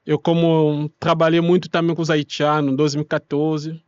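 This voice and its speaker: High-pitched Congolese man in an interview. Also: level tone, moderate pace, clear, resonant tone, no room sound, muffled recording. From Kinshasa, Democratic Republic of the Congo. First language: Congolese languages such as Lingala. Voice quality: non-native Portuguese with irregular grammar. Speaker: interview guest, refugee rights activist. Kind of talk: explanatory conversational interview.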